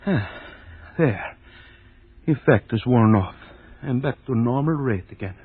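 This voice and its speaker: An actor playing a megalomaniac scientist in old-time radio horror, speaking in a theatrical, emphatic, gloating way. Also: male voice, mid-range voice, lively intonation, a little slow, breathy edge. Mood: triumphant. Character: grandiose megalomaniac male scientist, gloating over his secret power. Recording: muffled, tinny vintage radio broadcast.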